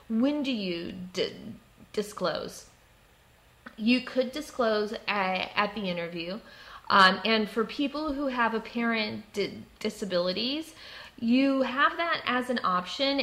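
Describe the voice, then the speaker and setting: A woman who stutters, with blocks and repeated sounds, giving an explanatory advice talk in a composed, frank and deliberate way. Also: mid-range voice, a bit bright. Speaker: presenter giving workplace advice. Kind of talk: explanatory talk with personal examples.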